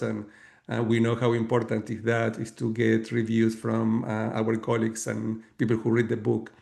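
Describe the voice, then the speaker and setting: Man, middle-aged, Argentine Spanish accent, level monotone, measured and explanatory, frequent ums and restarts. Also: medium pitch, creaky. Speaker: guest author and leadership coach. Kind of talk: webinar talk.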